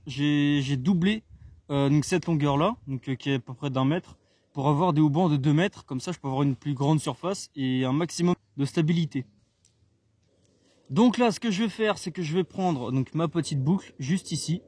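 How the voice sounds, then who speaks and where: Man from France, somewhat high voice, halting how-to talk full of fillers, outdoors, faint background noise. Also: darkish tone. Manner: hesitant, many fillers and restarts. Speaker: CB radio hobbyist demonstrating his gear. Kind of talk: casual how-to explanation. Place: outdoors on open ground.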